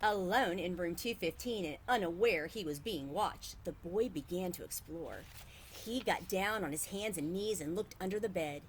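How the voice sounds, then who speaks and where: A woman's voice: on the low side, normal speed, slight background noise, poor audio. A woman reading a children's book aloud expressively as a storyteller.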